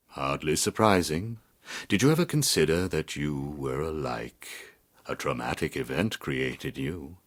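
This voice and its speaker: A cold, scheming psychiatrist villain from a video game, with an extremely deep voice. He speaks slowly and clinically, with condescending menace, yet his pitch moves around expressively as he toys with whoever he addresses. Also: a bit bright, full character voice. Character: cold, manipulative middle-aged male psychiatrist villain. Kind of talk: scripted video game voice acting.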